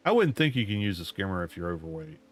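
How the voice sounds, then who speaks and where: A man, streaming a game and muttering to himself in a drawling, self-mocking way, his voice going hoarse. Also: lowish voice. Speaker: gaming streamer. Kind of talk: casual gameplay commentary.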